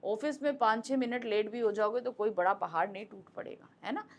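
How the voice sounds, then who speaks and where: A woman's voice: mid-range voice, a little fast. A woman teacher explaining a school lesson in a conversational way, repeating points and asking rhetorical questions, speaking close to a microphone. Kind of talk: explaining a Hindi lesson to students. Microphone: close mic.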